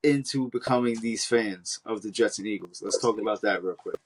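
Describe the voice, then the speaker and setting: A man's voice: fairly low pitch, brisk, slight background noise. A man co-hosting a football podcast, teasing his partner and steering the conversation. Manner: teasing, laughs often, steers the talk. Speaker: podcast co-host. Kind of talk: casual sports chat.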